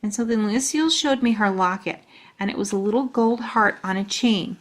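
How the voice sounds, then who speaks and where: A woman's voice: medium pitch, moderate pace. A woman reading a children's storybook aloud as a storyteller.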